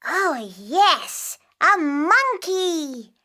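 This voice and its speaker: A curious young child, with a very high, bright voice. The questions come slowly in simple phrases, with big storybook swings in tone. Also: female voice, sing-song, slight background noise, heavily acted.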